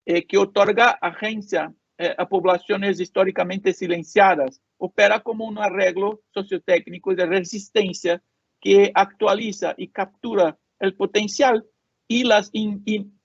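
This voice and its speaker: Gravelly, husky man lecturing over a call. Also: high-pitched, dry recording. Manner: halting, many fillers and restarts. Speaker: conference speaker. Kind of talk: academic talk with Q&A. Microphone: video call. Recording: dull lo-fi call audio.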